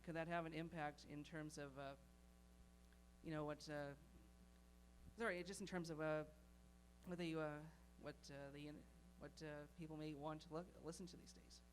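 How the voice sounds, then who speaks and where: A man's voice: on the high side, fairly even intonation, normal speed, slight background noise. A man from the audience asking a long, hesitant question full of fillers.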